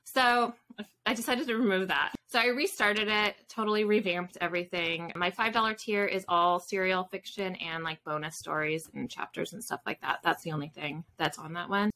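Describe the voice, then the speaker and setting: A woman's voice: fairly low pitch, fairly even intonation, moderate pace, bright, forceful tone, slight background noise. A woman in her thirties chatting casually as an interview guest on a video call. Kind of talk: casual chat. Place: indoors in a room with bookshelves, on a video call.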